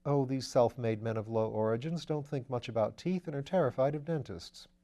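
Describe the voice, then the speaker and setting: A man's voice: mid-range voice, brisk, mellow, lightly acted. A man doing a level-headed police detective. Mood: matter-of-fact. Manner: plain, matter-of-fact, skeptical. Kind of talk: audiobook character voice.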